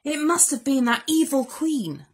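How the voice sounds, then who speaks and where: A woman's voice: sits high, lively intonation, a little slow, voice pushed up. A woman voicing kind, eager, protective little dwarfs with a bustling manner in a storybook. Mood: urgent.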